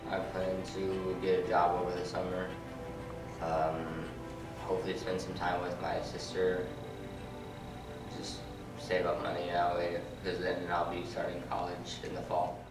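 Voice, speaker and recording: Deep, monotone young man. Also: measured pace. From Ohio, United States. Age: young adult. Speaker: graduating high school senior. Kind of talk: informal on-camera interview. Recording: roomy, far from mic, music behind.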